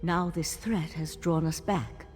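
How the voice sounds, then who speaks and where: A woman voicing a composed, inquisitive mage of an ancient monastic order in a fantasy game, measured, formal and articulate. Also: lowish voice, fairly even intonation, a little mellow, soft background music, lightly acted. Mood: earnest, explanatory. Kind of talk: scripted fantasy game dialogue. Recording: long reverb, faint background noise.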